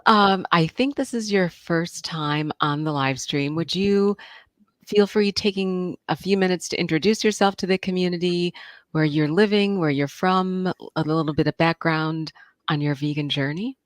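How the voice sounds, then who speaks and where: A woman's voice: fairly low pitch, normal speed, no room sound, clean studio sound. A woman hosting a live-streamed interview, opening with a scripted welcome and asking questions. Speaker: host.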